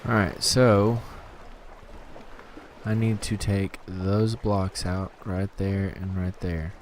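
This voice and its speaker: A man with a slightly hoarse throat giving easygoing, casual commentary on his own gameplay and talking himself through each task. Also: deep voice, little pitch movement, slow, slight background noise. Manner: easygoing, talks to himself while playing. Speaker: gaming channel host.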